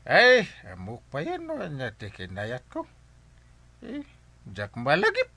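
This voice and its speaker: An actor with an Ilocano accent playing a bossy, boisterous, drink-loving village captain in a radio comedy drama. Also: male voice, on the high side, unhurried, creaky, no room sound, exaggerated voice. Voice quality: animated, swooping delivery. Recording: music behind the voice.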